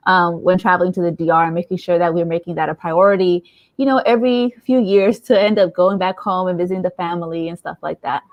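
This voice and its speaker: A woman's voice: on the low side, dry recording, muffled recording. A young adult woman from San Diego, chatting casually as an interview guest and laughing often. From San Diego, USA. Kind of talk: casual chat.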